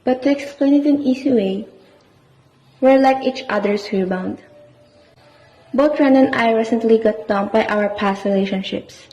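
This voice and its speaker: Long reverb and dull sound surround a kindly female doctor played by a woman. Her voice is fairly high and composed, in amateur radio play style. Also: normal speed, long pauses, mellow, close to an everyday voice. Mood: reflective, guarded.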